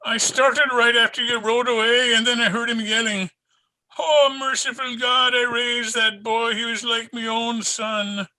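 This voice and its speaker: High, strained older police sergeant played by an actor. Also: male voice, flat delivery, sharp tone, exaggerated voice, low-quality recording. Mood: anguished. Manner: distraught, halting, repeating phrases. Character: anguished, shaken older Irish police sergeant, grieving uncle. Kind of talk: old-time radio drama acting.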